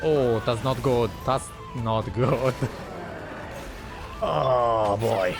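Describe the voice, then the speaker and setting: A male game streamer talking in a loose, informal way. He is unhurried and mid-pitched, muttering reactions over clearly audible game music. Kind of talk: casual gameplay commentary.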